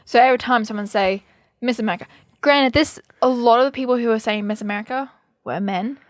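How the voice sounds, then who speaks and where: A woman's voice: on the high side, fast talker, no room sound. A young woman co-hosting a podcast, telling a story in a casual way with hesitant fillers. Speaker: podcast co-host.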